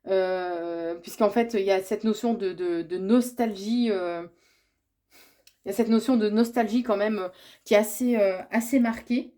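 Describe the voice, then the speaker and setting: A woman's voice: mid-range voice, moderate pace, dark tone. A woman with a cold giving a yearly horoscope reading, hesitant and full of fillers and restarts, relaying what she says she is told. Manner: speaks as if receiving messages. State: has a cold, congested. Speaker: tarot reader.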